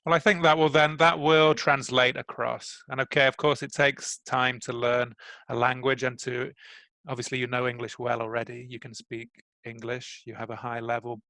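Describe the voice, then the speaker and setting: A man's voice: medium pitch, little pitch movement, normal speed, pushed and bright, dry recording. A man coaching a student for a job interview in English on a video call, speaking patiently and encouragingly. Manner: patient, encouraging, carefully paced explanations. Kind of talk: tutoring conversation.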